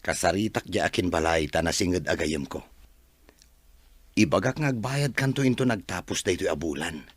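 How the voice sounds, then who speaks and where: An easygoing, persuasive gambler pal in a radio drama. His voice is lowish and husky, recorded dry. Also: animated, normal speed, dry recording. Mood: coaxing, assured. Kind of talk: Ilocano radio drama acting.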